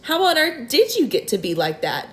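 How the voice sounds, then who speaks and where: Woman reading as a polite little boy, quiet and well-mannered. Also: high voice, big pitch swings, moderate pace, slight background noise, voice pushed up.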